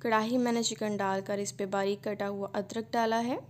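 A woman, hosting a cooking channel and calmly narrating a recipe step by step. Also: medium pitch, normal speed, a little hiss or hum. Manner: calm step-by-step instruction.

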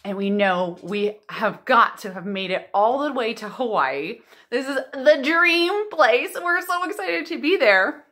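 A woman with a high voice hosts storytime for little kids. She takes her time over the words and swings widely up and down in pitch, with expressive delivery as she reads aloud and chats. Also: unhurried. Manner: animated, expressive, exclamatory. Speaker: children's librarian and storytime host. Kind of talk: storytime for young children, read-aloud and chat. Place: living room at home.

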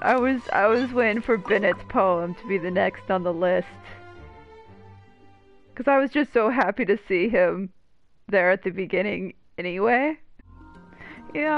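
A woman's voice: on the high side, dull audio. A woman streamer giving casual, joking commentary as she plays a game. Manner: joking, chatty. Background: game music.

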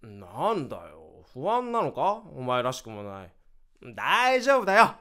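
A man's voice: medium pitch, wildly swooping pitch, unhurried, pushed and bright, exaggerated voice. A man acting in a radio drama as an upbeat, chatty vice president of a new startup. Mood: puzzled, concerned. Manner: exuberant, chatty, swinging from cheers to concern.

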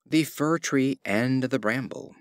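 A man narrating fables as an audiobook reader, in an expressive storytelling style. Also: medium pitch, slow pace, no room sound, studio quality.

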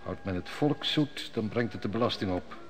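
Guy doing a sly mocking court steward, kinda low voice, cynical schemer. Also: lively intonation, moderate pace, natural voice. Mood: scheming. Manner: sardonic, mocking. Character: sly cynical male royal steward, heavy drinker. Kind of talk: 1960s radio drama acting.

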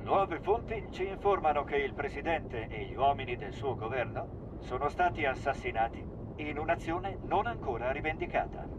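A man's voice: fairly high pitch, animated, normal speed, natural voice. A man playing a formal television newsreader delivering a scripted bulletin in a game fan dub. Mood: formal, grave. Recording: muffled TV sound, vehicle noise.

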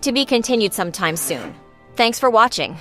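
A woman's voice: a little high, very animated, brisk, fairly bright tone, soft background music. A woman giving casual gameplay commentary as the host of a gaming channel.

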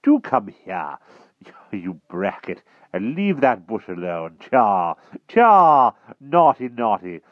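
A man voicing a flustered, excitable, old-fashioned gentleman king in a storybook, politely exclaiming with posh verbal tics. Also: fairly low pitch, slow, no echo, dull audio, pitched above the performer's own voice. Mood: fussy scolding.

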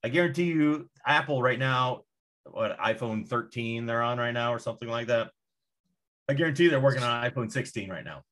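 A man's voice: medium pitch, fairly quick, a bit bright. A man who grew up in Indonesia with American parents, talking as a podcast guest in casual chat, emphatic and profane, ranting with force.

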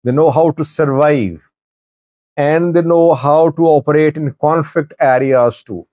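A man in his forties giving news commentary to camera in a deliberate, halting way, pausing mid-sentence. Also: on the high side, darkish tone, dry recording. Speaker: news commentator, channel host. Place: small wood-panelled office, indoors.

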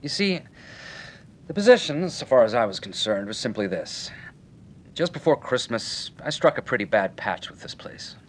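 Breezy nightclub owner, lively swooping delivery. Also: male voice, medium pitch, normal speed. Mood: frank. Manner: colloquial, slangy, wry. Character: breezy, slangy male nightclub owner, wisecracking. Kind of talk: old-time radio detective drama acting.

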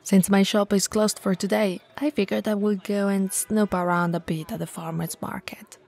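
A woman playing a tall, friendly, teasing bento shop owner in an intimate ASMR roleplay, with a breathy voice and a casual, chatty manner. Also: mid-range voice, level tone, normal speed, darkish tone. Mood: friendly, cheerful. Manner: casual, playful, hesitant and chatty.

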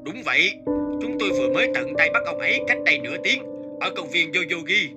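A formal police officer in an animated tale, acted by a man. He speaks calmly in a measured, matter-of-fact way, with clear music behind. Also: a little high, natural voice. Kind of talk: animated moral story dubbing.

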